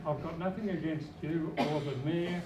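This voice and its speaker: An older man with a hoarse voice, speaking as a resident at a public meeting, blunt and rambling. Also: on the high side, steady tone, moderate pace, recorded from a distance, a loud crowd. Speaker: resident asking questions. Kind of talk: public meeting question from the floor. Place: civic centre meeting hall. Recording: echoey hall, noisy audience.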